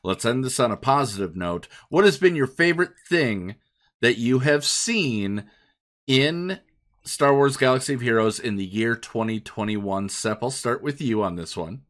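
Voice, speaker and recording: A man's voice: mid-range voice, animated, normal speed, a bit bright. A man hosting a podcast, casually moderating a panel conversation. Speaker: podcast host.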